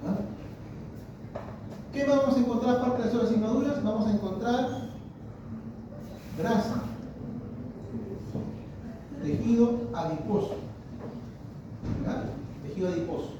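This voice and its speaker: A man with a high voice teaches a histology class in a very echoey room, picked up from a distance. He repeats himself, restarts phrases and asks students questions, with steady room noise behind him. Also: a little slow, pauses often, highs cut off. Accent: Peruvian Spanish. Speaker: histology professor. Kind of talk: classroom lecture. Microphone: distant room mic. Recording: echoey classroom recorded from afar.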